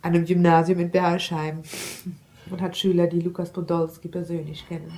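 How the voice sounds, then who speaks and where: A woman's voice: fairly low pitch, level tone, fairly quick, darkish tone. An adult woman talking casually about personal things in a matter-of-fact way, correcting herself as she goes. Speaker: teacher.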